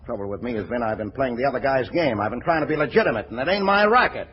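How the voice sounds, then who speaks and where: A man's voice: medium pitch, expressive, quick pace, a crowd in the background, close to an everyday voice. An actor playing a brash, streetwise middle-aged ex-racketeer running a brewery, with slangy, stammering tough-guy talk in 1940s radio comedy style. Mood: scheming. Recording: dull, noisy 1940s radio broadcast.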